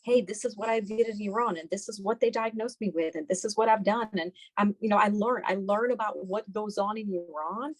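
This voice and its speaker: A woman's voice: medium pitch, brisk, few pauses, no echo, poor audio. A middle-aged woman giving conversational, explanatory interview answers as a doctor, often repeating phrases for emphasis.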